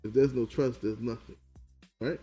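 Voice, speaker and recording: Man, podcast co-host, casual chat, persistent and pushy, mellow tone, faint music underneath. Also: mid-range voice, fairly even intonation, moderate pace, vocal fry, breathy edge. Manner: pushy, persistent, repeats his point.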